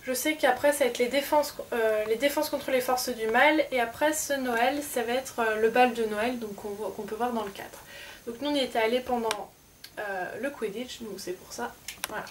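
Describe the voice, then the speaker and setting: Hesitant, slightly high woman vlogger. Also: normal speed, distant mic, a little hiss or hum. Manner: hesitant, many fillers and self-corrections. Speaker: vlogger showing souvenirs. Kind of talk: casual show-and-tell.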